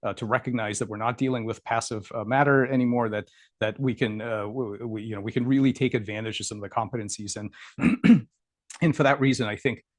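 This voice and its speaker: A man giving a scientific lecture over slides, halting with many fillers and repeats, and losing his voice. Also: mid-range voice, fairly even intonation, no room sound, studio quality. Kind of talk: academic talk over slides.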